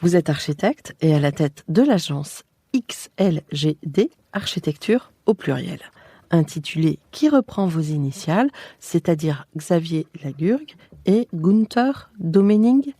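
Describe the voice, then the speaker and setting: A woman hosting an architecture podcast interview, reading her introductions and questions in a poised, measured way. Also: fairly low pitch, lively intonation, dark tone, slightly rough, no echo. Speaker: podcast host.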